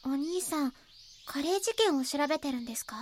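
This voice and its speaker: A young girl in an audio drama. The voice is high and polite. She speaks hesitantly, as a witness telling what she knows. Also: lively intonation, brisk, a little hiss or hum. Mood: polite, guarded.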